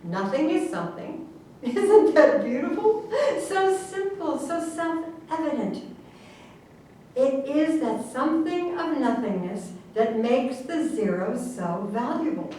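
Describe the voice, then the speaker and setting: A woman in a staged reading playing an earnest, pompous government official who lectures with deliberate emphasis. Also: high voice, animated, warm tone. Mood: rapt, earnest. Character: government official earnestly explaining absurd secret science. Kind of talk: theatrical staged reading of a satirical play. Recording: very echoey room, distant mic, faint noise.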